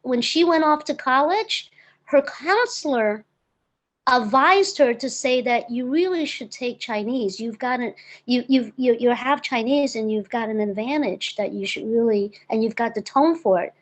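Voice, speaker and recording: A woman's voice: on the high side, moderate pace. A woman in her fifties, born in Hong Kong and raised in the United States, eagerly telling her story as an interview guest. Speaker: guest, real estate broker. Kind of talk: conversational interview.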